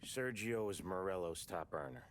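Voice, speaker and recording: An actor playing a blunt, businesslike male gangster who briefs the others in a flat, matter-of-fact way. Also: low voice, moderate pace, slight background noise, natural voice. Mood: briefing.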